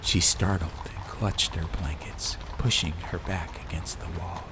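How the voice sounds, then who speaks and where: Male audiobook narrator, lowish pitch, atmospheric storybook reading, scripted, faint ambient noise behind. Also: steady tone, unhurried, gravelly. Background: ambient background sound.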